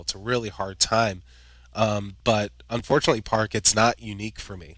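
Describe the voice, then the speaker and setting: A man's voice: lowish voice, no room sound, poor audio. A young man, a podcast guest, telling personal stories in a vivid play-by-play way. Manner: vivid, excited play-by-play retelling with laughs. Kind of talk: animated personal storytelling.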